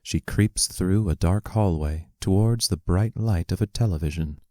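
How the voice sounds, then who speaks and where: A man reading the stage directions of a play aloud as scripted narration, plainly and deliberately. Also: low-pitched, dark tone, dry recording, studio quality.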